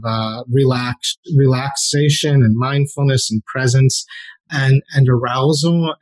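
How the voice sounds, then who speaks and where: A man's voice: mid-range voice, darkish tone. A man answering interview questions, explaining at length with frequent repeated words and fillers. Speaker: sex therapist guest.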